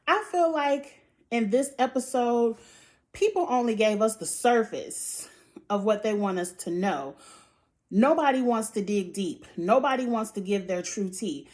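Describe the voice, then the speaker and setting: High-voiced, outspoken woman recapping reality TV. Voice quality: high-pitched voice. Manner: animated, outspoken, frequent laughs and sighs. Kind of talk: casual opinionated chat.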